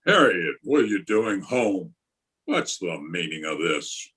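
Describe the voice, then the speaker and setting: Middle-aged blustering school principal for radio comedy male actor: pompous, exasperated, very animated intonation. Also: sits low, takes it slowly, husky, heavily acted. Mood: blustering, outraged. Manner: pompous, indignant, theatrical. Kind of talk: old-time radio comedy acting. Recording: remote video-call recording.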